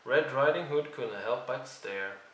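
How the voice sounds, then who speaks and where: A man narrating a children's read-along story in an expressive storytelling style. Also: mid-range voice, measured pace. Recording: roomy, distant microphone.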